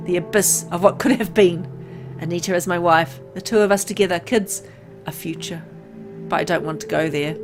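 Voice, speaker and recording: A woman reading dramatic first-person visual novel narration aloud in a brooding, theatrical way. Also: fairly low pitch.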